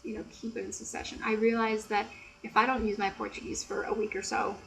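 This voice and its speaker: An American woman chatting softly and explaining things in a casual, teacherly way, with frequent "you know". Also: mid-range voice, level tone, fairly quick, gravelly, a little hiss or hum, low-quality recording. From United States. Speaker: ASMR creator and English tutor. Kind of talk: soft-spoken ASMR chat.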